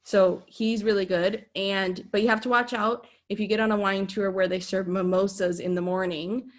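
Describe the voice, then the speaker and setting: A woman's voice: mid-range voice, fairly even intonation, moderate pace. Bubbly Minnesotan woman. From Minnesota, USA. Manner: bubbly, laughs often, rambling storyteller. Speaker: podcast guest. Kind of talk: casual chat.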